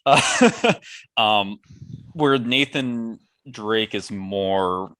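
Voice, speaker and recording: A man's voice: on the low side, very slow, fairly bright tone. A man co-hosting a podcast, talking casually with hesitant, hedging phrasing. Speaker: podcast co-host. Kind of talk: casual movie discussion.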